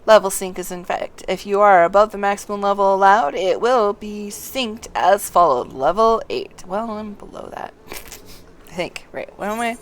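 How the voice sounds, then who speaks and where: A woman's voice: medium pitch, moderate pace, smooth. A woman game streamer thinking aloud casually as she plays and reading on-screen text out to viewers. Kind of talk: live playthrough commentary and reading quest text aloud.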